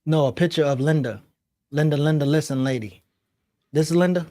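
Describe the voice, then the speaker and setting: A man's voice: fairly high pitch, a little fast, mellow, no echo, clean studio sound. A man hosting a casual, slangy chat, putting comments and questions to his fellow panelists. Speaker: host reading viewer comments.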